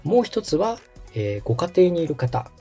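A man in his thirties from Osaka hosts a podcast and explains things politely, pausing with fillers. His voice is husky and mellow, and his pitch moves a lot. Faint music plays behind him. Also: very animated. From Osaka, Japan. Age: 30s. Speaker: podcast host and radio director. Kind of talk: explaining casual chat.

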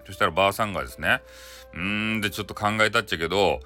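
Man, Hakata accent, low bright voice, chatty storytelling, colloquial bedtime folktale. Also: racing, faint music behind. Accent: Hakata, Japan. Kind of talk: casual improvised bedtime folktale narration.

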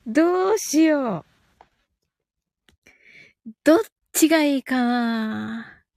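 High-voiced woman chatting on a live stream. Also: lively intonation, takes it slowly, creaky, darkish tone, no room sound, clean studio sound. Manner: giggly, cheerful, often thinking aloud. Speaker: host of a live audio stream. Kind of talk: casual live-stream chat, reading out listener comments.

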